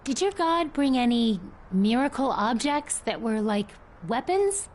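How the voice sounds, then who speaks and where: A woman's voice: a little high, animated, a little slow, darkish tone. A young woman playing a plucky teenage village girl in a video game, casual and inquisitive. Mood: curious.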